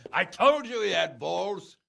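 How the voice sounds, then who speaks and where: An actor playing a bluff, teasing, bawdy nobleman in a video game, with a gravelly voice. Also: a little high, background noise, exaggerated voice. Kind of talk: video game cutscene acting.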